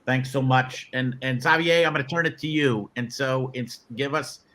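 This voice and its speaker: Bright-voiced man moderating a webinar. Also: medium pitch, fairly even intonation, moderate pace, slight background noise. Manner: loose, rambling, many restarts. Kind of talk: casual panel moderation.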